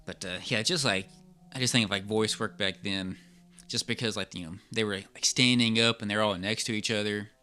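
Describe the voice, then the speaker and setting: A man co-hosting a podcast in casual chat, rambling along in a halting way. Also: lowish voice, steady tone, bright, forceful tone, smooth, soft background music. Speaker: podcast co-host.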